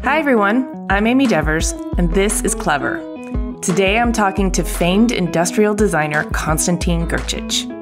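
A woman hosts a design interview podcast and sounds upbeat. She reads her introduction from a script and then moves into relaxed questions. Music plays clearly behind her voice. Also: medium pitch, normal speed. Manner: upbeat, with easy laughter. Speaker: podcast host.

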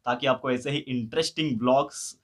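A man's voice: medium pitch, fairly even intonation, a little fast. A man in his thirties, a vlog host, talking casually to a phone camera held at arm's length and mixing English words into his speech. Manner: chatty, easygoing, mixes English words into Hindi. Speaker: vlogger host. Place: indoor room and gym.

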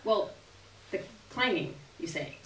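Expressive young woman reading, roomy and distant. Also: medium pitch, slow. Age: young adult. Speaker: performer reading a short story aloud. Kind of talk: live dramatic literary reading. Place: small gallery room, live stage reading. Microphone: stand microphone, recorded by distant camera. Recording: roomy, distant camera audio with hiss.

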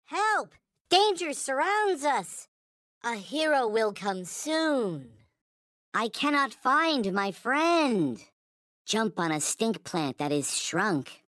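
A man voicing a small, squeaky, childlike toy alien in a video game, earnest and worshipful, with stilted, formal phrasing. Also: very animated, slow, fairly bright tone, no room sound, clean studio sound, heavily acted. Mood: alarmed. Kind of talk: video game character voice lines.

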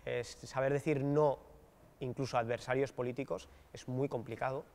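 A man in his thirties, a political candidate, giving thoughtful, hesitant interview answers with many fillers and restarts on a dark studio set. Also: mid-range voice, steady tone, normal speed, long reverb tail. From Basque Country, Spain.

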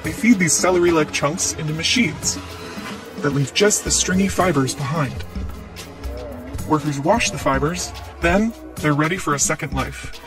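A man giving scripted documentary narration in an even, explanatory way. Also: fairly high pitch. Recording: distant voice with clear music bed.

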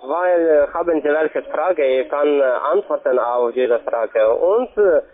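Casting: male call centre agent, slightly high voice, foreign accent, halting non-native phrasing, phone-quality audio. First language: not German. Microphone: telephone. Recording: tinny narrowband phone line.

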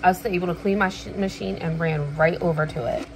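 A woman's voice: on the low side, steady tone, brisk, noisy background. A woman in her thirties chatting casually in a rambling, sweary personal vlog recorded in a room at home. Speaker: vlogger. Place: small indoor room at home.

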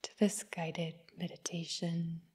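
A woman with a mellow, rather low, whispery voice guides a meditation. She speaks very slowly and gently in short phrases, leaving long, soothing pauses between them. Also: monotone. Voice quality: soft, breathy, whispery.

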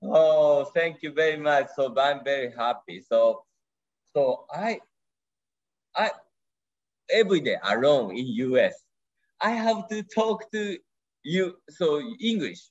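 A man in his thirties from Japan, speaking English with a Japanese accent in short, broken, excited phrases as an interview guest on a video call. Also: on the high side, slow, long pauses, dull audio. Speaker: guest angler. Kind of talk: casual interview. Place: home room.

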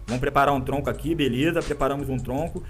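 A man, congested with a cold and sniffling, giving excitable, slang-filled live gameplay commentary with frequent laughs, recorded in a small indoor room. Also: mid-range voice, fast, faint music behind. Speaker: gaming YouTuber. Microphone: webcam framing, headphones around neck.

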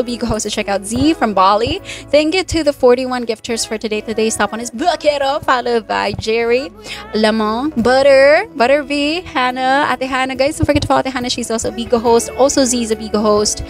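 A young woman from the Philippines with a Filipino accent, slightly hoarse, chatting quickly to viewers into a handheld mic during a home livestream. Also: sits high, faint music behind. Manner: quick, chatty, mixes in Tagalog words. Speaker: livestream singer and host. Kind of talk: casual livestream chat between songs. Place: living room indoors. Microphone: handheld microphone.

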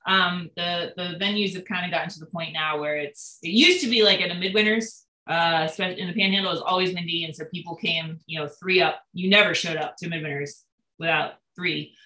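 A woman's voice: lowish voice, steady tone, fairly quick, sharp tone. A young woman answering interview questions over a video call, explaining steadily with frequent ums. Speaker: boat builder guest. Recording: roomy video call audio.

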